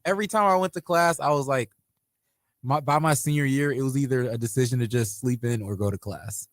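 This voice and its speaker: A young adult man chatting in a relaxed way on a talk show. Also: medium pitch, fairly quick, no room sound, clean studio sound. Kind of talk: casual chat.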